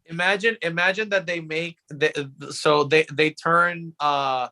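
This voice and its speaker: A man's voice: on the high side, fairly even intonation, measured pace, sharp tone. A man co-hosting a podcast, thinking aloud in informal, slangy casual chat. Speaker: podcast co-host.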